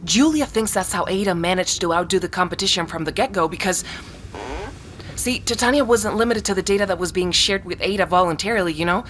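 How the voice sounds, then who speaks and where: A woman's voice: lowish voice, fairly quick, fairly bright tone, a little hiss or hum, lightly acted. An actress playing an earnest young woman who explains her suspicions insistently, in naturalistic audio drama dialogue. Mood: eager, explaining. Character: earnest young woman convinced of a tech conspiracy.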